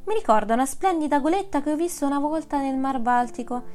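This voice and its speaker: A woman's voice: high-pitched, lively intonation, fast talker, darkish tone, soft background music, voice pushed up. A woman voicing a weathered old male sea cat who talks like a hearty sailor, in a read-aloud story.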